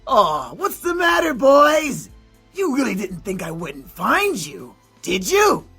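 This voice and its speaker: A woman voicing a menacing, foul-mouthed male demon thug for a visual novel, raspy and gravelly, with a taunting drawl. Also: wildly swooping pitch, slow, fairly bright tone, full character voice. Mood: mocking. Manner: taunting, drawling, swinging wildly in pitch. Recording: music behind the voice.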